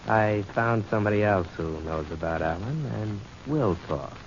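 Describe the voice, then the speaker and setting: A man's voice: fairly low pitch, lively intonation, moderate pace. An actor playing a cocky, hard-boiled male private detective, smug and wisecracking, in 1940s radio drama style. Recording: muffled, noisy 1940s radio recording.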